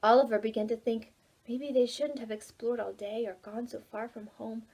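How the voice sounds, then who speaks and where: A woman reading a children's storybook aloud for preschoolers, dramatic and expressive, involving the young listeners. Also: a little high, normal speed, slightly rough. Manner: animated, dramatic, asks listeners questions. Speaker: children's library storytime reader.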